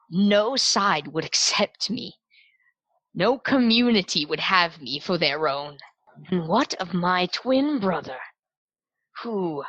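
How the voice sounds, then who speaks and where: A woman voicing a proud, bitter androgynous half-elf villain in a tabletop game, speaking theatrically with deliberate pauses and breathy dips into whispers. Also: on the low side, a bit bright, no echo, highs cut off, exaggerated voice. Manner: slow deliberate pauses, disdainful monologuing.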